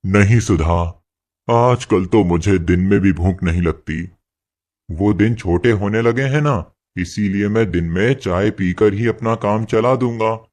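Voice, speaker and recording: A poor, humble working husband from a cartoon moral story, acted by a man. His voice sits fairly low. He speaks gently and apologetically, always putting himself last. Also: a little slow, natural voice. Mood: patient, resigned. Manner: gentle, pleading and apologetic toward his boss. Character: poor meek labourer husband, patient and self-sacrificing. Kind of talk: animated moral story acting.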